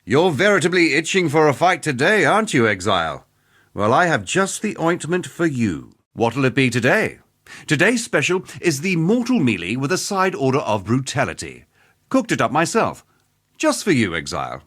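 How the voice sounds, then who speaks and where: A man's voice: on the high side, very animated, moderate pace, fairly bright tone. A man playing a cocky, playful veteran fighter in a video game, jaunty and teasing, with relish for a brawl. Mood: jovial, cocky. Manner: jaunty, teasing, colloquial swagger. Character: cocky, playful veteran male arena fighter, jovially bloodthirsty. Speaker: video game character voice actor. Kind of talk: scripted game character dialogue.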